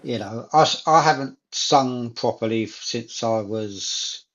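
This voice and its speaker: A man's voice: medium pitch, a little slow, fairly bright tone, dull audio, a little hiss or hum. A man in a casual chat, talking enthusiastically and repeating phrases for emphasis.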